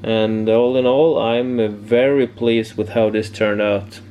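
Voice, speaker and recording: A man giving a casual, unscripted hobby demonstration, haltingly searching for words, in a home workshop. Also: lowish voice, fairly even intonation, dull audio. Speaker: amateur radio hobbyist presenting homebrew project. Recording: clear background noise, room ambience.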